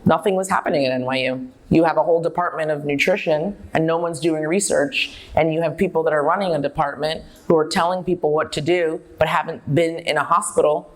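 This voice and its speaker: A woman's voice: sits low, fairly even intonation, fairly quick, few pauses. A middle-aged woman speaking emphatically and candidly in an interview, telling stories as a company founder and dietitian. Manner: emphatic, self-assured, candid. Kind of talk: on-stage interview, personal storytelling.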